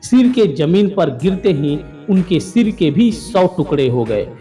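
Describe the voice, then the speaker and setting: A man narrating a mythological story in an even, formal storytelling manner. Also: high-pitched, measured pace, soft background music.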